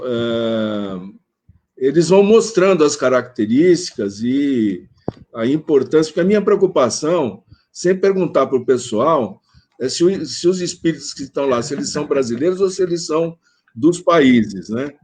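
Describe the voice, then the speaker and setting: A man from Brazil with a Brazilian Portuguese accent, speaking at length as a panel guest in a live online conversation, with many fillers and pauses. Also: medium pitch, lively intonation, a little mellow. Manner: rambling, hesitant, many fillers. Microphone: video call.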